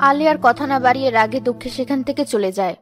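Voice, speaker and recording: A woman's voice: high voice, fast talker. A woman narrates a cartoon storybook tale in scripted, third-person style. Her voice sits over background music. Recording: music behind the voice.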